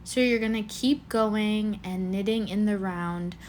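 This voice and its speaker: A woman's voice: medium pitch, unhurried, darkish tone, a little hiss or hum. A young woman with a cold, chatting casually through a knitting tutorial and thinking aloud as she counts stitches. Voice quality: slightly congested from a cold. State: has a cold. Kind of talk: casual step-by-step craft tutorial.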